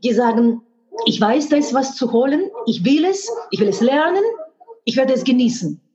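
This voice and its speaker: A woman's voice: high voice, animated, moderate pace, crowd noise. Frank, muffled woman speaking a second language. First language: not German. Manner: frank, emphatic, with earthy expletives. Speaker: guest, sex educator and hypnotherapist. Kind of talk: candid podcast conversation. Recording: dull, muffled audio.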